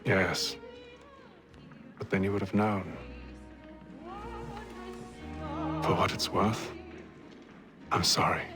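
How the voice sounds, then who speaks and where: A man playing a cool, courteous English gentleman assassin in a video game, with restrained, understated delivery. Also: deep voice, fairly even intonation, slow, over music. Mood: quiet regret. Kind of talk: video game cutscene acting.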